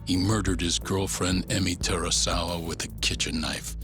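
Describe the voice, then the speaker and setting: A solemn narrator voiced by a man for a video game crime drama. The low voice delivers scripted lines in a grave, measured way, with music clearly underneath. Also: fairly even intonation, close to an everyday voice. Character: grave male narrator recapping a crime story.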